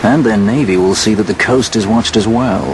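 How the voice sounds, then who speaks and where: An actor voicing a wry, self-assured rogue who speaks with dry confidence, over orchestral music. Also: male voice, on the low side, normal speed, background noise, natural voice. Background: orchestral game score.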